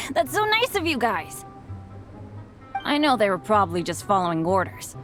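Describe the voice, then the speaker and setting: A woman's voice: medium pitch, huge pitch swings, measured pace, fairly bright tone, faint music behind. A woman playing an unlucky, good-natured boy adventurer in a video game, boyish, earnest and apologetic. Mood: friendly, earnest.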